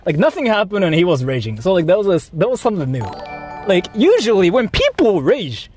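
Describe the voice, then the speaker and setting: A man's voice: high-pitched, wildly swooping pitch, fairly quick, darkish tone, husky, faint music behind. A man from Japan chatting casually with livestream viewers, rambling and repeating himself, with some swearing. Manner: rambling, repetitive, profane. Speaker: livestream host.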